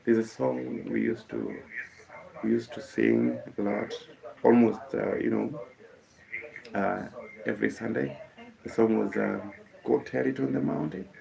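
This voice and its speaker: A young Kenyan man, a musician whose first language is Kikuyu, telling the story of his life in a halting way, with many fillers and restarts. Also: on the low side, lively intonation, creaky, warm tone, low-quality recording. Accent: Kenyan English.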